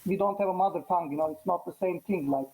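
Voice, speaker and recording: Man with a Turkish accent, mellow. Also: sits high, rough recording. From Turkey. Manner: halting, many fillers and restarts. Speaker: guest chess player. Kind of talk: casual post-match interview.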